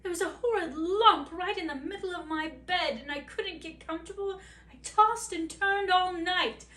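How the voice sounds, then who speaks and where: A delicate, polite young princess as a woman storyteller puts her on, apologetic and a little fussy. She speaks slowly in a high, bright voice. The recording is roomy and distant. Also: expressive. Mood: complaining. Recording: roomy, distant mic, faint hiss.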